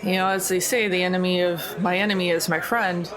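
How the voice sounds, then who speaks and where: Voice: medium pitch, steady tone, a little fast, crowd noise, rough recording. A player in a tabletop role-playing game, decisively planning the group's next moves in casual chat.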